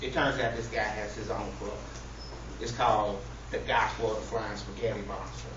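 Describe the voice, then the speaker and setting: A man's voice: lowish voice, steady tone, moderate pace. A man giving an informal, humorous speech to an audience in a mock-earnest, preacher-like way. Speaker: public speaker. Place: echoey indoor room with an audience. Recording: distant, very echoey, noisy, muffled room recording.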